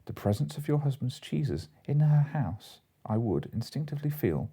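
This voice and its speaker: A man reading the part of a wily, elaborately polite and pompous gentleman in a comic audiobook. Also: medium pitch, very animated, normal speed, slightly rough, voice pushed up. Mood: pompous, courteous. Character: mock-courteous gentleman storyteller, grandiloquent and wily. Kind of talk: audiobook character voice.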